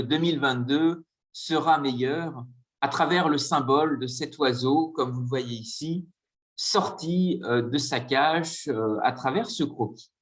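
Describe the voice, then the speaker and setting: A man giving a conference lecture with slides, speaking hesitantly with frequent filler pauses. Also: a little high.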